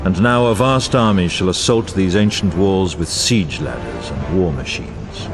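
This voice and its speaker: An actor playing a grave, wise war narrator in a fantasy video game, with dramatic, archaic and formal delivery over music. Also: male voice, low-pitched, unhurried. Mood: solemn, foreboding.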